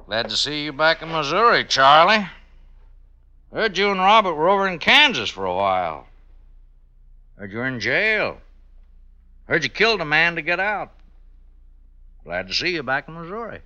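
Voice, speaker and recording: An actor playing a bold, goading American sheriff in an old-time radio western, with a folksy, insistent way of talking. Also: male voice, fairly high pitch, big pitch swings, normal speed, pauses often, pushed and bright, heavily acted. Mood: bold, coaxing. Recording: dull vintage radio recording, faint music.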